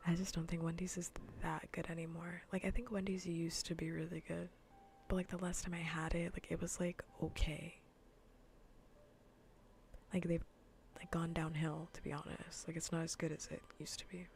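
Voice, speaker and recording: A woman livestreamer chatting softly in a breathy, mostly whispered ASMR voice close to the mic, rambling playfully and repeating her phrases. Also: fairly low pitch, little pitch movement, moderate pace, slight background noise. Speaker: livestreamer hosting an ASMR gaming stream. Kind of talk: soft ASMR casual chat to viewers. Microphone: close ASMR microphone.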